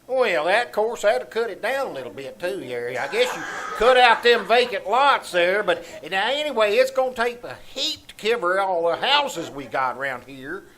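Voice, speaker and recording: Man playing a high-pitched, querulous country bumpkin. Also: big pitch swings, normal speed, few pauses, a bit bright. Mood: puzzled, contrary. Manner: rustic, folksy dialect, querulous. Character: literal-minded, folksy small-town old-timer, contrary and muddled. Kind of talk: live old-time radio comedy reenactment. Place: small-town theater stage, live performance. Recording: noisy live theater stage recording.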